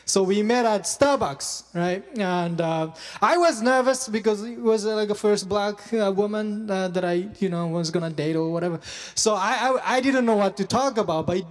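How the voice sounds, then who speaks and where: Halting man doing stand-up. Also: high-pitched, big-room sound. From Japan. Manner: self-deprecating, halting delivery with many fillers and restarts. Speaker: stand-up comedian. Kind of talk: stand-up comedy set.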